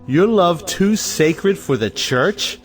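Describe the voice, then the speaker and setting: Man playing a sanctimonious clergyman with swooping high pitch. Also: lively intonation, takes it slowly, soft background music. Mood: righteous scorn. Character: sanctimonious, self-righteous clergyman in society comedy. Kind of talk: audio stage play acting.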